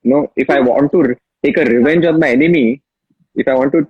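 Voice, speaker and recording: A man's voice: medium pitch, quick pace, husky, dry recording. A man from Maharashtra with a Marathi-accented Indian English, telling his story emphatically as an interview guest. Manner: emphatic, storytelling, repeats key phrases. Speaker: interview guest, app founder. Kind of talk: casual interview talk. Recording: dull lo-fi sound.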